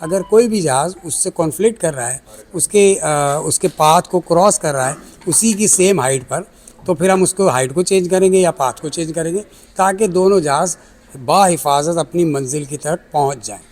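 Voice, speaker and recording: A man's voice: on the high side, normal speed, a little mellow. A man with a Pakistani accent answering interview questions as an aviation expert, explaining step by step and mixing in English technical terms. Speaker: air traffic control chief, aviation expert. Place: air traffic control centre.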